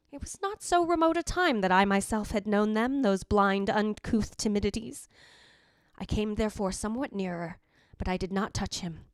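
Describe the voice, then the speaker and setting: A woman's voice: on the high side, no echo, lightly acted. A woman playing the yearning, possessive ghost of a dead wife, narrating formally in old-time radio drama style. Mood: longing. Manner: formal, literary, theatrical delivery.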